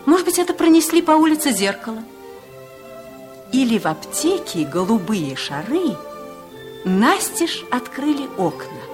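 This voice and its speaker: A woman's voice: a little high, big pitch swings, unhurried. A woman narrating a children's fairy tale as a storyteller in a radio play. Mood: storytelling. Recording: music playing under the voice.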